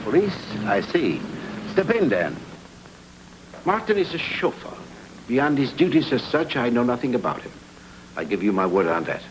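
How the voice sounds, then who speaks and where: An actor playing a wealthy, evasive gentleman in a noir radio drama, speaking with precise, courteous formality. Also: medium pitch, big pitch swings, moderate pace, pauses often, noisy background, natural voice. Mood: guarded. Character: wealthy, formal, evasive husband who shuns attention.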